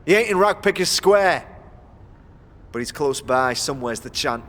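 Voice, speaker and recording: Cocky scavenger boss, highish voice, sneering street slang, big reverb and noisy ambience, fantasy audio drama. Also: male voice, animated, moderate pace, fairly bright tone. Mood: contemptuous. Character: brazen, mercenary, sneering male chieftain of ragpickers.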